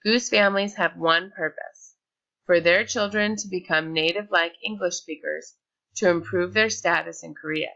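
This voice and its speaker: Bright-voiced woman reading a presentation. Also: on the low side, moderate pace, gravelly, muffled recording. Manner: reads from a script with small slips and restarts. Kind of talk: read-aloud slide presentation.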